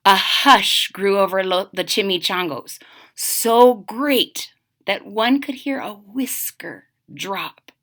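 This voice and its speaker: Female storybook reader: bright, unhurried, dramatic, pitched for young children. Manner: dramatic, explains to young listeners. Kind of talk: expressive children's read-aloud.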